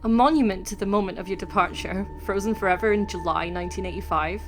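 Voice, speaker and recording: A woman's voice: medium pitch, quick pace, soft background music, close to an everyday voice. A woman playing an anxious young heroine in a dramatic horror audiobook reading. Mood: wry, self-chiding.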